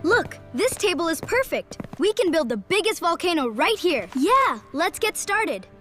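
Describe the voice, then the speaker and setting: A woman's voice: very high voice, sing-song, measured pace, a bit bright, soft background music, exaggerated voice. A woman voicing an eager, kind-hearted schoolboy in a children's cartoon story, earnest and exclamatory. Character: excitable, eager, kind-hearted schoolboy building a science project.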